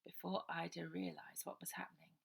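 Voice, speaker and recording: A woman's voice: lowish voice, flat delivery, a little fast, vocal fry, husky. A woman reading her children's novel aloud as a dramatic storybook narration.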